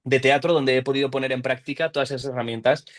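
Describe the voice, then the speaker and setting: Actor, thoughtful and measured. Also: male voice, medium pitch, level tone. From Barcelona, Spain. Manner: thoughtful, explains at length with restarts. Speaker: actor, interview guest. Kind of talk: interview conversation.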